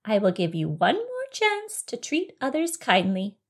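A woman voicing a kindly but firm good fairy in a storybook, with a sing-song, gently scolding delivery. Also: on the high side, wildly swooping pitch, measured pace, slightly rough, full character voice. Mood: firm warning.

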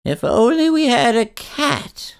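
A man voicing a lonely very old woman in a storybook reading, with a high, quavering, drawn-out delivery. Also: heavily acted. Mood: wistful.

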